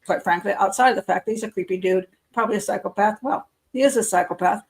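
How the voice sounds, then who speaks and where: A woman who works as a criminal profiler hosts a casual live members' show, rambling and chatty, losing her voice and clearing her throat. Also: medium pitch, fast. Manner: chatty and rambling, with frequent fillers and soft laughs. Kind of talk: casual live-stream chat.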